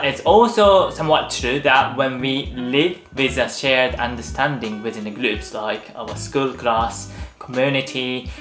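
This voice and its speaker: A man from Japan with a Japanese accent, a native Japanese speaker, explaining things to viewers as a video host in a hedging manner with frequent softeners. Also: medium pitch, roomy sound.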